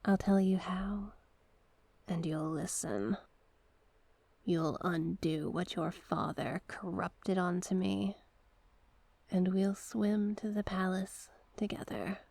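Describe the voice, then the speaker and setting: A woman voicing a seductive, manipulative sea witch in an ASMR roleplay, coaxing hypnotically in a breathy, husky voice that often drops to a whisper. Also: fairly low pitch, dark tone, no room sound, heavily acted. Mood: seductive, promising. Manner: slow, hypnotic, coaxing repetition.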